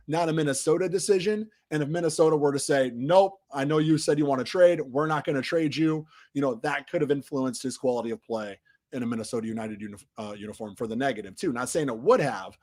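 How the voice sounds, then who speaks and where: A man hosting a casual soccer recap stream, talking in a rambling way with many restarts, while his voice recovers from being lost. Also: mid-range voice. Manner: rambling, frequent restarts and fillers, trails off quietly at phrase ends. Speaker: soccer podcast host.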